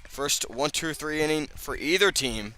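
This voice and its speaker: A man's voice: on the high side, animated, unhurried, pushed and bright, low-quality recording. A man calling a baseball game live on the radio as the play-by-play announcer. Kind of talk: live sports commentary. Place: outdoor ballpark broadcast position.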